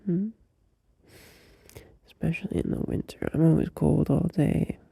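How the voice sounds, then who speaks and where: Male performer as a tender young boyfriend at night. Slow, breathy whisper with gravelly fry, close and dry. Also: a little high, no room sound, full character voice. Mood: tender, sleepy. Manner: soft drowsy whisper, unhurried, with small laughs. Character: gentle, loving young boyfriend soothing a partner at night. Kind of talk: ASMR audio roleplay. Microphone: close mic.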